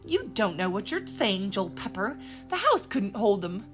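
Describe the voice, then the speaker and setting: A woman voicing a busy, practical mother who talks brisk and firm. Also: mid-range voice, sing-song, faint music behind.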